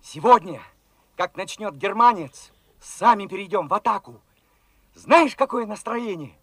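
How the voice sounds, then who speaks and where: An actor in a Soviet radio play playing a fervent young revolutionary soldier, talking in an excited, colloquial way. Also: male voice, high voice, measured pace, no echo, heavily acted. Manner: excited, colloquial, eager questioning.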